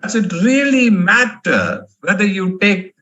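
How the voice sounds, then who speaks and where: A man voicing a wise Cheshire cat with a gently questioning manner while telling a story. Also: high voice, lively intonation, a little slow, muffled recording, voice pushed up, heavily acted.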